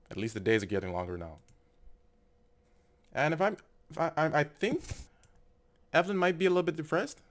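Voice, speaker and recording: A man's voice: lowish voice, a bit bright. A man doing casual video game commentary, reading on-screen text aloud and musing tentatively as he goes. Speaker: gameplay video narrator. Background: game music.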